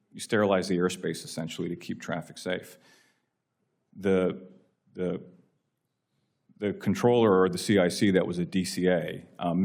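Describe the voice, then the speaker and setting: Man, American accent, low pitch, narrow intonation, deferential official, formal explanatory testimony. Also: a little mellow. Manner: deferential, measured explanatory phrasing. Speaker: aviation agency official testifying. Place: legislative hearing room.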